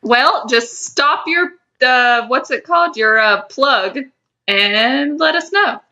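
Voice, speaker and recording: A woman's voice: high-pitched, expressive, takes it slowly, bright, forceful tone. A woman co-hosting a podcast, chatting casually in a giggly, rambling, informal way with some swearing. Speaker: podcast co-host. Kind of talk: casual fan chat.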